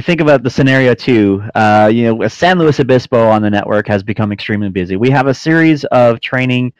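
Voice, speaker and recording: A man's voice: mid-range voice, clear, resonant tone, no echo. A man with a mid-range voice chats as a podcast guest. He explains things in a casual, self-correcting way, and the sound is close and dry with a slightly dull, lo-fi edge. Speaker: podcast guest, flight-sim air traffic controller and trainer.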